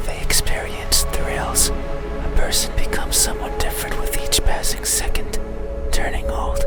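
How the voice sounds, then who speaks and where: A man playing a lonely, melancholy monster in a horror visual novel, whispering in a breathy voice with halting, formal, brooding phrasing. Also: very high voice, full character voice. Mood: melancholy, detached. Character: lonely, melancholy male monster stalking a sleeper at night. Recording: whisper under loud music.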